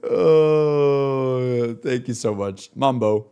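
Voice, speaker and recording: Young guy streamer, casual gamer chat, medium pitch, excitable and rambly, pokes fun at himself. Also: very slow, clear, resonant tone, lo-fi sound. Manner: enthusiastic, self-deprecating. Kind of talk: casual livestream chat, rambling gaming talk.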